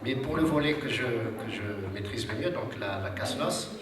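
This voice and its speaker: A man's voice: mid-range voice, normal speed, crowd noise. A man with a cold giving a formal, hesitant speech to an audience at a seminar, with many fillers and restarts. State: has a cold. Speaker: social security official speaking at a seminar. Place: large hall with audience. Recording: echoey hall, distant mic, audience noise.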